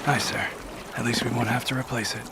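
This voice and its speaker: A man's voice: mid-range voice, fairly even intonation, fairly quick, natural voice. A man playing a cautious, dutiful, deferential navy sailor in an audio drama. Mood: wary, deferential. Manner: clipped, deferential. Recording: clear water noise behind voice.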